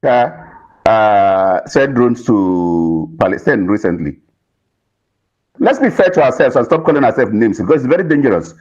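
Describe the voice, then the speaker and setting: A man's voice: fairly low pitch, sing-song, normal speed, darkish tone, dull audio. A Nigerian man arguing as a guest on a political panel, emphatic and repetitive, with rhetorical questions.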